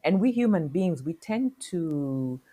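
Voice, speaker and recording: A middle-aged woman from Kenya, speaking as a podcast guest in a reflective, halting way full of fillers. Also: fairly low pitch, expressive, mellow. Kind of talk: casual interview talk.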